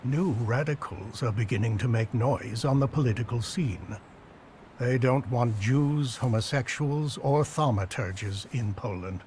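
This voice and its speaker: A mid-pitched male voice by an actor as a composed companion in a period video game. He is calm, genial and dry, over faint ambient noise. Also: unhurried, natural voice. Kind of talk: video game cutscene acting.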